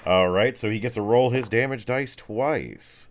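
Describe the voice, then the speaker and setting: A man's voice: mid-range voice, a little slow, slight background noise. A man narrating a tabletop game as game master in casual, slangy language with vivid action descriptions.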